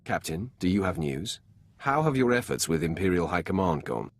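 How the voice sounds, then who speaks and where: An actor playing a cold, commanding Sith lord in a video game, speaking in a curt, imperious way. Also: male voice, low voice, sing-song, normal speed, a little hiss or hum. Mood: stern.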